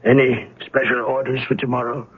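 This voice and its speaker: An actor playing a proper, deferential butler in a 1970s radio mystery play, speaking formally and theatrically. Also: male voice, medium pitch, little pitch movement, brisk, breathy edge, natural voice. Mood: deferential, dutiful. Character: male household butler, deferential, proper and anxious to reassure. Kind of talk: 1970s radio drama acting. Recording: muffled narrowband old radio broadcast.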